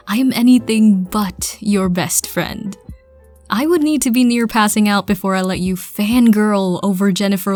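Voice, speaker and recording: A woman narrating a fan audio drama and voicing the listener's part, stammering and laughing self-consciously. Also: medium pitch.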